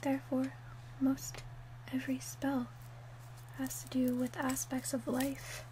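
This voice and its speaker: Hushed, high-voiced woman playing a witch. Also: monotone, a little hiss or hum, rough recording. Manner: slow, gentle, hushed, deliberate delivery. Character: calm, helpful female witch selling love potions. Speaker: ASMR roleplayer. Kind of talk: ASMR roleplay, soft-spoken and whispered.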